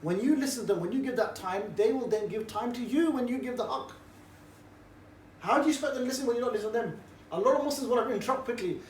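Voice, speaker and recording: A middle-aged man, giving a relaxed, jovial briefing to a group and mixing in cheeky banter. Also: very high voice, fast. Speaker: street preaching trainer. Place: indoor room with a group. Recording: roomy echo, distant mic, faint background noise.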